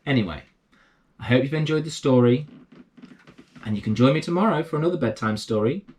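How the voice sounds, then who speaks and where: Man reading bedtime stories with lively pitch. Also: a little fast. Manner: expressive, addressing young children. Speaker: bedtime story reader and host. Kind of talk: reading a children's bedtime story aloud.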